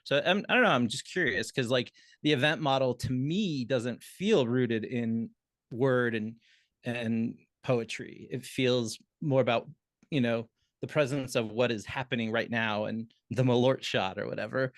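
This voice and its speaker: Musing man, mid-pitched, dry sound. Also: measured pace, no echo. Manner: musing, questioning. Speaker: theatre panelist. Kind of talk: casual panel discussion.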